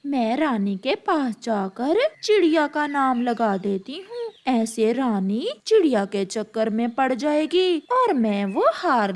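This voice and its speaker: A woman plays a sly, grasping female crow from a children's cartoon. The voice is high and animated, with slow delivery. She sounds insistent and self-serving. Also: full character voice. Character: scheming greedy female crow, defiant and sly. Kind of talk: Hindi moral-story cartoon dubbing.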